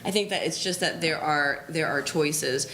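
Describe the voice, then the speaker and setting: Measured low-voiced woman panelist. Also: fairly bright tone, a little hiss or hum. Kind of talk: panel discussion.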